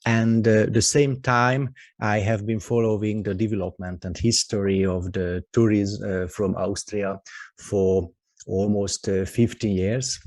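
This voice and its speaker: Hungarian guy presenting on a video call, deep gravelly voice, dry sound, lots of uh's. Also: fairly even intonation, a little mellow, dry recording. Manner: halting, heavy on "uh" and "also" fillers. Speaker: guest expert presenter. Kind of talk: webinar presentation. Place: office with company logo behind him.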